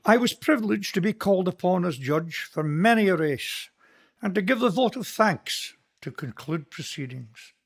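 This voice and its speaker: An actor with a Scottish accent playing a kindly, earnest Presbyterian minister in a community radio play, speaking in a measured, sermon-like way. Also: male voice, sits high, lively intonation. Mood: nostalgic. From Scotland.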